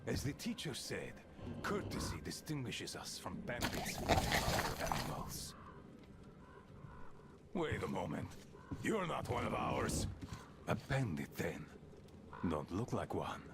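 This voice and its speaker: A domineering, sardonic leader in a video game, with a gravelly voice that swings widely in pitch. He is pompous and sneering, rambling on as he sizes people up. Loud rumbling noise runs behind him. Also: very animated, slow, pauses often, exaggerated voice. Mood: suspicious, mocking.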